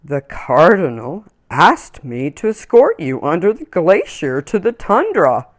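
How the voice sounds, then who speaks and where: A woman voicing a shy female musk ox in a storybook, speaking softly and slowly as a dutiful guide. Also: on the low side, very animated. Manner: soft, slow-spoken.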